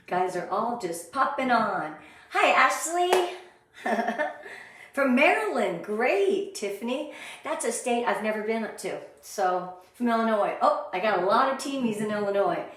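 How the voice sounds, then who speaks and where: A middle-aged American woman speaking with gushing, high energy, greeting viewers on a live online motivational training. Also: sing-song, a little slow. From Southern California, USA. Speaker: sales director leading a motivational training. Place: indoor room, live stream with ring light. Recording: very echoey, roomy sound.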